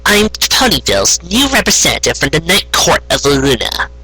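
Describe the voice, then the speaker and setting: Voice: mid-range voice, big pitch swings, moderate pace, sharp tone, slightly rough, no room sound, pitched above the performer's own voice, full character voice, low-quality recording. A storyteller voicing a proud, self-important young female unicorn official with a pompous, assertive manner.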